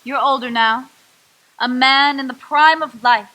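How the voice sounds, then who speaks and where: A woman's voice: sits high, fairly even intonation, a little slow, pushed and bright, rough recording. A woman playing a stern, probing ghost. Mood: solemn. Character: solemn female ghost guiding a miser. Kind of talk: radio drama acting.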